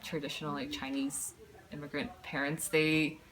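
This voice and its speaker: Young woman w a deep low voice, casual, keeps rephrasing herself. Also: little pitch movement, a bit bright, low-quality recording. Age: young adult. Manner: halting, self-correcting. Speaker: student interviewee. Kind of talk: casual interview.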